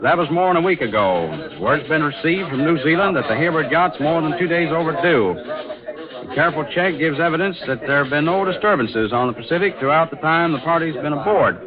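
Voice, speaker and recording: A man's voice: a little high, lively intonation, a little fast, a crowd in the background, close to an everyday voice. A man playing an urgent newsroom editor, with punchy, dramatic 1940s radio news delivery. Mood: dramatic reporting. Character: urgent newsroom editor reading a mystery story aloud. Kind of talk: 1940s radio acting, scripted news report. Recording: muffled old radio, newsroom bustle.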